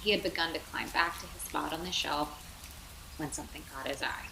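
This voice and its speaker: A woman reading a children's bedtime story aloud as a mother would, in an expressive, theatrical way. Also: sits low, a little fast. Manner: animated, theatrical, with dramatic whispers and asides. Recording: roomy echo, clear background noise.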